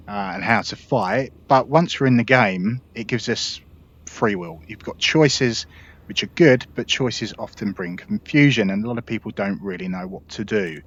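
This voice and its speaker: A man with a fairly low voice talks viewers through a game in a relaxed, upbeat tutorial manner. His delivery is chatty and explanatory. The recording sounds slightly dull and lo-fi. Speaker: gaming video host. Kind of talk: casual gameplay tutorial.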